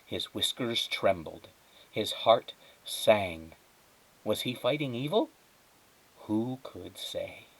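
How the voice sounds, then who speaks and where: A man reading a children's story aloud as a teacher would, with dramatic, measured phrasing and emphatic pauses. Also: lowish voice, lively intonation, creaky, breathy edge, rough recording. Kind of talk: expressive children's storybook narration.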